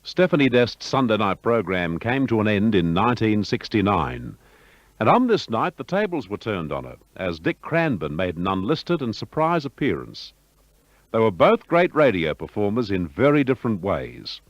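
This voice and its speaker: A man giving scripted documentary narration in a smooth, measured broadcaster's delivery. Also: on the low side, big pitch swings, no echo. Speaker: narrator of a radio history. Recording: dull lo-fi broadcast audio.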